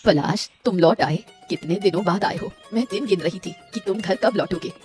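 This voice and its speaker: A woman's voice: on the low side, normal speed, over music, close to an everyday voice. A woman voicing a proud, controlling middle-aged mother of a rich household in a cartoon story, doting yet firm. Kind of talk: Hindi cartoon story dialogue.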